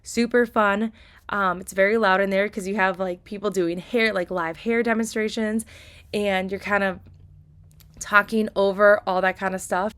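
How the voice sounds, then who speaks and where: Young woman, a bit raspy today, bubbly and chatty, lots of like and kinda. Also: mid-range voice. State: slightly raspy voice. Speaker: nail tutorial creator. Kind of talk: casual tutorial voice-over.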